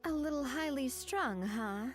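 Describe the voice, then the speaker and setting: A woman playing a composed, gracious young woman in a video game, explaining things in a measured way. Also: high-pitched, animated, faint music behind. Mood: thoughtful. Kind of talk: video game dialogue acting.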